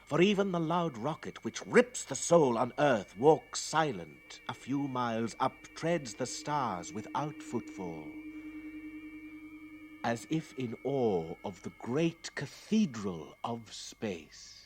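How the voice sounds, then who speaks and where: A man's voice: mid-range voice, big pitch swings, slow, breathy edge, faint music behind, heavily acted. A man acting as an awed spaceship crewman, giving grand, lyrical and solemn narration in a radio drama. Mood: grand dramatic. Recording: faintly noisy 1960s radio recording.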